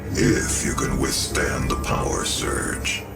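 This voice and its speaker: Calculating cyborg scientist, bottomless bass, gravelly synthetic tone, measured formal persuasion, video game, loud music. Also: male voice, exaggerated voice. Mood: controlled, commanding. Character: calm, calculating cyborg scientist heading a facility.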